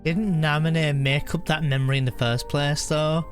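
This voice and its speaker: A woman's voice: low voice, steady tone, normal speed, soft background music. A woman game streamer giving casual live reactions, musing aloud and repeating herself as she works things out.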